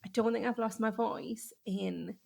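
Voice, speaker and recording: A woman's voice: on the high side, measured pace, vocal fry, dark tone. A woman hosting a podcast, chatty and exclamatory in casual conversation. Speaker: podcast host.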